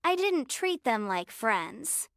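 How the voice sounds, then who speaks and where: Casting: a sorry little girl for a bedtime story, high, unhurried voice, earnest and pleading. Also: very animated, a bit bright, no room sound, clean studio sound, full character voice. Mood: sad, forlorn. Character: sad, sorry little girl missing her toys. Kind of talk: children's bedtime story character voice.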